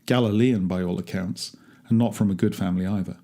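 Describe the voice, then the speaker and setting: Guy playing a grumbly old-world graveyard gardener, dry jokes, chatty story monologue, soft mellow voice. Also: fairly low pitch, brisk, close to an everyday voice. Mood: wry grumbling. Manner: dry, chatty, darkly humorous. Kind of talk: first-person dramatic monologue, read aloud.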